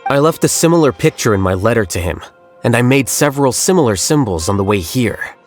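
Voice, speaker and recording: A man voicing a cool, aloof young scholar who speaks in a calm, detached and analytical way. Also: medium pitch, brisk, faint music behind, close to an everyday voice. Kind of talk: game voice acting.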